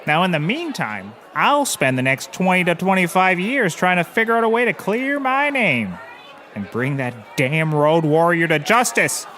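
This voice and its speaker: A man's voice: high voice, sing-song, normal speed, sharp tone, slight background noise, full character voice. A man playing a hapless, scatterbrained private eye in a scripted audio comedy, rambling earnestly and cheerfully oblivious. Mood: defensive, breezy.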